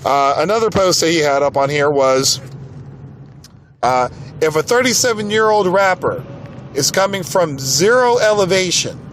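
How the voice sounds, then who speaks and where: An American man with a fairly high voice speaks as a podcast host. He gives his opinions casually, sounding exasperated and emphatic. There is some light noise behind him. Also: a bit bright. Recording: clearly noisy background.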